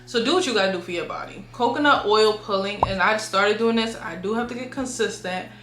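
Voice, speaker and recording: A woman's voice: mid-range voice, a little fast, noisy background. Chatty young woman in an echoey room. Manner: chatty and frank, many "like"s, addresses viewers as y'all. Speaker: beauty and lifestyle vlogger. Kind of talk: casual advice monologue to camera.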